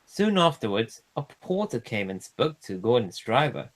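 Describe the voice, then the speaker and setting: A man reading a children's storybook aloud as a storyteller, in an animated style. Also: medium pitch, normal speed. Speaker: storyteller, streamer.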